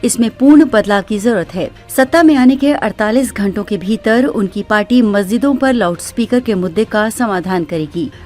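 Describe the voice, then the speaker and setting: A woman's voice: medium pitch, a little mellow. A woman delivering a scripted news voice-over in a formal, declarative manner. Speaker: news narrator. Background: background music.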